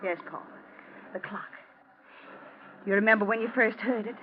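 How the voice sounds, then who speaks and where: An actress playing a cold, taunting wife bent on revenge, in an ominous, theatrical 1940s radio drama style. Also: female voice, mid-range voice, normal speed, over music, natural voice. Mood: vengeful, menacing. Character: vengeful wife, cold, taunting, avenging her father. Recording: muffled, noisy old radio recording.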